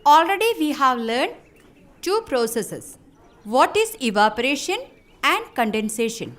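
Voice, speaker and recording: An actress playing a schoolteacher who lectures a class deliberately and carefully, checking that it is understood. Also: female voice, high voice, very animated, fairly bright tone, heavily acted. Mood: instructive. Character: schoolteacher drilling a class in science. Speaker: teacher.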